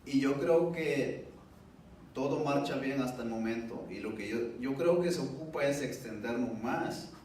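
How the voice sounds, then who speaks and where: A man's voice: medium pitch, a little slow, a little mellow. Echoey, distant man giving testimony in Spanish. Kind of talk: personal testimony speech at a public event. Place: indoor event room, heard from far off. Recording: distant mic, very echoey room, faint noise.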